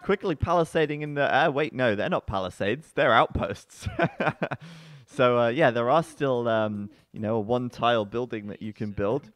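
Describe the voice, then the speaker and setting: A man commentating a strategy game match live, chatty and full of fillers, breaking into excited outbursts at the action. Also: normal speed. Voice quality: bassy-sounding voice. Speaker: esports commentator.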